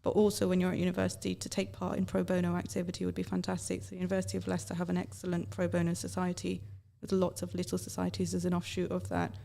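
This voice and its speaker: A woman from Yorkshire, speaking as a guest on a radio show. Her voice is lowish with a gravelly fry, and she explains things in a measured, articulate way. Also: monotone, warm tone. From Yorkshire, England. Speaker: radio guest, legal professional. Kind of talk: casual radio interview.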